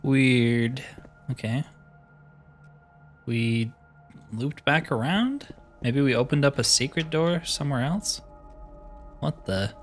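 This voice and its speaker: A man's voice: mid-range voice, slow, pauses often, faint music behind. A man giving casual, mildly exasperated commentary while playing a video game, thinking aloud as he goes. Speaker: gaming video host.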